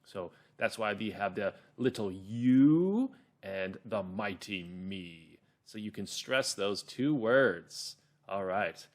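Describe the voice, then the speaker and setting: A man teaching a children's reading lesson and narrating a story, clearly and deliberately, in an instructional way. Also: lowish voice, steady tone, fairly bright tone. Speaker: teacher, host.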